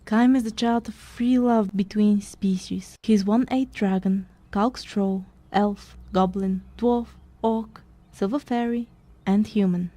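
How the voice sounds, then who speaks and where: A woman playing a brisk storyteller, narrating a mock fantasy tale in a scripted audio play. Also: mid-range voice, steady tone, mellow, natural voice.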